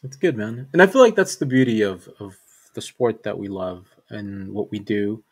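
A man's voice: lowish voice, moderate pace, husky. Laid-back man hosting a podcast. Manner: relaxed, with many fillers and repeated words. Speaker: podcast host. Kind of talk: casual sports podcast chat.

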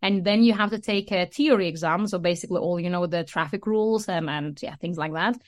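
A woman's voice: on the low side, brisk. A woman from Lithuania with a Lithuanian accent, chatting casually as a podcast guest and explaining things at length, often checking in with "you know" and "right". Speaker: podcast guest, motorcycle rider. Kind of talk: casual interview chat.

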